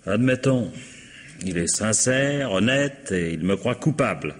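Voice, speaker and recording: Deep-voiced suspected widower, guarded. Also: male voice, expressive, measured pace. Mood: defensive. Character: defensive widower under suspicion, dignified war veteran. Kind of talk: 1950s French radio mystery acting. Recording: reverberant, noisy old radio drama sound.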